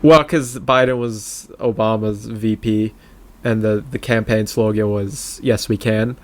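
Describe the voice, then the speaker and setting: A man's voice: lowish voice, fairly even intonation, a little slow. A man co-hosting a casual political podcast, bantering in a sardonic, mocking manner. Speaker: podcast co-host.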